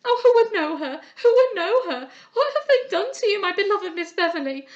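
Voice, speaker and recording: A woman voicing a frantic young woman who wails high and tearful in grief. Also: sing-song, normal speed, dull audio, exaggerated voice. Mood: sobbing.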